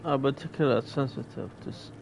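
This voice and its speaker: A man playing a game, reading the on-screen text aloud in a slow, hesitant way and pausing to explain words. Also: medium pitch, creaky, darkish tone, slight background noise, low-quality recording. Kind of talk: casual gameplay commentary.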